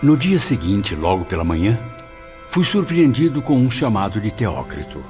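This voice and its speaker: An actor plays a reflective man narrating his memoir. His low voice is solemn and measured, slightly dull in sound, over faint music. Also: big pitch swings, warm tone. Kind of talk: scripted first-person audiobook narration.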